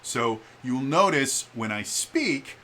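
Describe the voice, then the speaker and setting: A man giving a casual, opinionated vlog, with an expressive, emphatic delivery that shifts in volume and a stutter that catches on some words. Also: mid-range voice, slow. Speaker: vlogger. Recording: background room noise.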